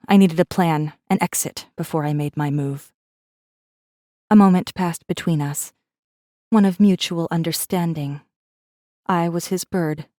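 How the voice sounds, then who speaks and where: A woman's voice: fairly low pitch, mellow, dry recording, clean studio sound, natural voice. A woman playing a defiant, bitter captive rebel assassin who narrates her own story in an intense, brooding way. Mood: resolute. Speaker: audiobook narrator. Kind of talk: first-person dramatic narration.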